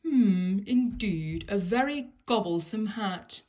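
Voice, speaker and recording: A woman's voice: medium pitch, lively intonation, slow, darkish tone. Woman doing male crocodile, storybook villain, drawling, hungry, sly, menacing. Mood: greedy, menacing.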